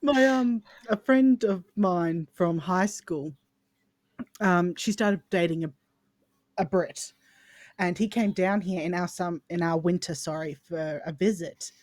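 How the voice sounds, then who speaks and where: A woman with an Australian accent chats casually as a podcast co-host. Her voice sits a little low, with a dry, echo-free sound. She tells stories jokingly, exaggerating and voicing other people's lines. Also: a little slow, darkish tone. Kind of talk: casual chat, anecdotes.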